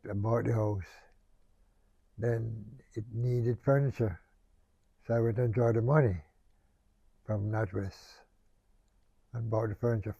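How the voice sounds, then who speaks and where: An older man with a gravelly, mellow voice looks back on his life in short, plain phrases. The recording is dry and a little dull. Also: mid-range voice, dry recording. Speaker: local resident interviewee. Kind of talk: personal reminiscence in an interview.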